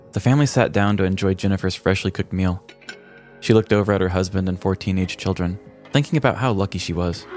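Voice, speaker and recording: Low-voiced man narrating a comedic crime story. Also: darkish tone. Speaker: podcast host. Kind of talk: scripted dramatic true-crime narration, comedic.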